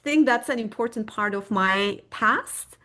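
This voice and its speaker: Middle-aged woman from turkey, turkish native, talks slow and careful, lots of ums and restarts, podcast guest. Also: fairly high pitch, sharp tone. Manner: thoughtful, searching for words, many fillers and restarts. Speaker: guest historian. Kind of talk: podcast interview.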